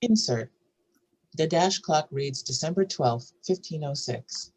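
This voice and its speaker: A man's voice: fairly high pitch, expressive, a little slow, darkish tone, husky. A man narrating scripted scene directions as part of a screenplay table read. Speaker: narrator reading stage directions.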